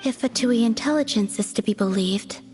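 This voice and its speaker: A woman playing a serene, aloof young moon maiden in a video game, speaking softly in an unhurried, detached way. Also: fairly high pitch, a little hiss or hum, close to an everyday voice. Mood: calm, aloof. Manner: unhurried, detached, cryptic, understated. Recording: music behind the voice.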